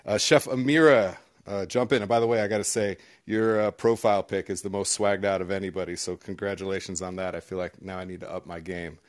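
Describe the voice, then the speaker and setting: A man's voice: sits low, fairly even intonation, brisk, hardly any pauses. A man from Southern California hosting a panel discussion in a casual, upbeat manner with plenty of fillers. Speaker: host, moderator.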